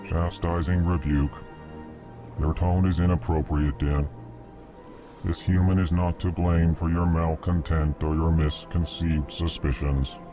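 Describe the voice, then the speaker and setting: A man voicing a huge, courteous alien ambassador in a video game, speaking in a formal monotone and flatly naming each feeling before he speaks. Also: low-pitched, measured pace, music in the background. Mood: deadpan rebuke. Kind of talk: video game character dialogue.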